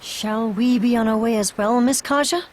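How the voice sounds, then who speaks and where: An actress playing a polite, gentle household servant in a video game, speaking with formal courtesy. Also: female voice, fairly high pitch, moderate pace, slight background noise, lightly acted. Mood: welcoming, polite. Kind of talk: video game cutscene acting.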